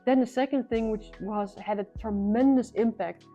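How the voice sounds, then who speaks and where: A woman's voice: fairly high pitch, moderate pace, dark tone, highs cut off, soft background music. A young Dutch woman with an accent, speaking English as a second language, telling a personal story in an earnest vlog with frequent restarts. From Netherlands. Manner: earnest, restarts phrases often. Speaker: vlogger.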